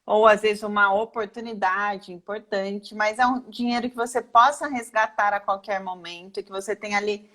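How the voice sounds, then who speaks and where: A woman's voice: mid-range voice, steady tone, normal speed, hardly any pauses, pushed and bright. Brazilian woman explaining things informally. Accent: Brazilian Portuguese. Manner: didactic, poses questions and answers them herself, acts out sample replies. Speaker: financial planner answering audience questions. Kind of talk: informal explanatory talk in a live online session.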